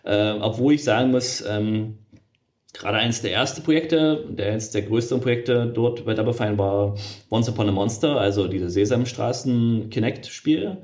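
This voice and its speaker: A man's voice: fairly low pitch, moderate pace, a little mellow. A middle-aged man, a game developer being interviewed, talking casually and hesitantly with many fillers and restarts.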